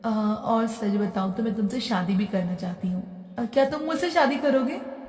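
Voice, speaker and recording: A woman voicing a spoiled rich young woman in an animated moral story, theatrical and exclamatory, with English phrases mixed in. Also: fairly high pitch, moderate pace, faint music behind, close to an everyday voice. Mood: lovestruck, determined. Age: young adult. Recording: echoey hall-like reverb, distant.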